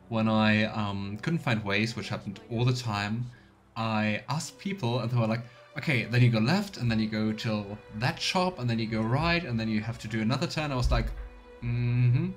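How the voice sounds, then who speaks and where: A man's voice: medium pitch, moderate pace. Chatty man streaming a game over music. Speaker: livestreamer playing a video game. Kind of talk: casual let's play commentary.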